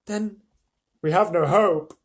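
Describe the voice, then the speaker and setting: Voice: mid-range voice, slow, pitched above the performer's own voice, close to an everyday voice. A parent reading a storybook aloud, voicing a worried girl who speaks urgently in a raised voice. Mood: despairing. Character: anxious girl, eldest sister.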